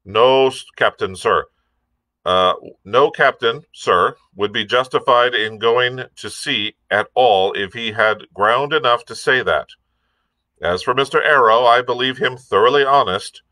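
A man's voice: medium pitch, unhurried, pushed and bright, no echo, pitched above the performer's own voice, poor audio. A man reading a storybook, voicing a blunt, stern and prickly sea captain in an emphatic, clipped manner. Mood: firm, indignant. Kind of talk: audiobook character reading.

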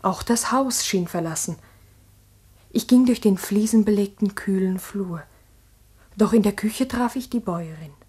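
Strict schoolteacher, 1960s radio drama: measured, precise, medium pitch, lightly husky. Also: female voice, darkish tone. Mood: reflective. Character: earnest, strict village schoolteacher, a single woman. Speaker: actor and first-person narrator. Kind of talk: 1960s German radio drama acting and narration.

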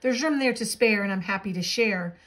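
A woman's voice: mid-range voice, brisk, a bit bright, voice pushed up, close to an everyday voice. A woman voicing a big-hearted, polite and put-upon male moose in a children's storybook. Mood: cheerful, obliging. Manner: polite, obliging.

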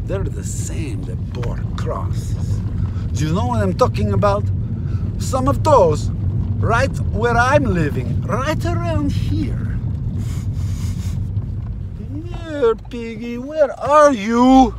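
High-pitched ranting middle-aged man, erratic. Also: big pitch swings, slow. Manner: ranting, erratic, swinging between low muttering and shouting. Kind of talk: theatrical spoken recitation of rock lyrics. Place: inside a moving car. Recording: noisy car interior with engine rumble.

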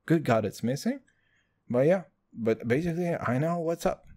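Guy hosting a comedy podcast, foul-mouthed, keeps saying dude, mellow dark voice. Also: fairly high pitch, expressive, normal speed. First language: Hebrew. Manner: crude, loud swings, calls listeners dude. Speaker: podcast host. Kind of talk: comedic solo podcast rant.

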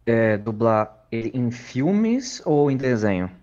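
A man's voice: medium pitch, big pitch swings, takes it slowly, darkish tone, lo-fi sound. A Brazilian man chatting casually as a podcast co-host. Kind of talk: casual chat.

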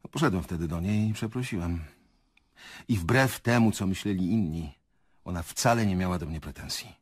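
A man's voice: low-pitched, normal speed, slightly rough, no echo, clean studio sound. An actor playing an older male theatre director in a radio play, earnest, hesitant and reflective. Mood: reflective, confessional.

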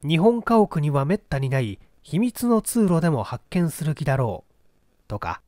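A man narrating a literary mystery as a dramatic, measured audiobook storyteller. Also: a little high, darkish tone, no room sound, clean studio sound. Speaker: audiobook reader, stage performer.

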